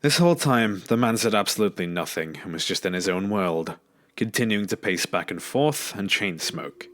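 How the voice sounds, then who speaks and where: A man's voice: fairly low pitch, expressive, moderate pace, a bit bright. A man reading a scripted first-person scary story as a narrator. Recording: background music under the voice. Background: music.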